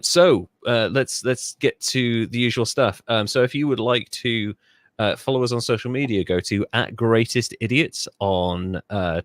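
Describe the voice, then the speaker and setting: A man's voice: lowish voice, no echo. A man hosting a podcast, chatting casually and rambling, often stopping himself mid-thought and laughing. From England. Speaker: podcast host.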